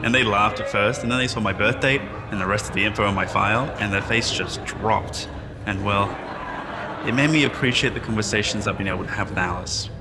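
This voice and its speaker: A man's voice: fairly low pitch, brisk, close to an everyday voice. Sci-fi audio drama: male actor as modest, reflective cryosleep survivor with dry humor, speaking in a reverberant hall over music. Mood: amused, candid. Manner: self-deprecating, reflective, conversational. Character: wry, modest man revived from centuries in cryostasis. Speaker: interviewee at a press appearance.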